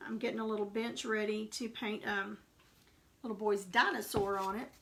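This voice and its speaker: A woman's voice: medium pitch, fairly even intonation, moderate pace, fairly bright tone. A woman with a Texas accent chatting through a live painting tutorial, rambling into homey asides as she paints. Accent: Texan. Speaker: craft painting instructor. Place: home craft workspace.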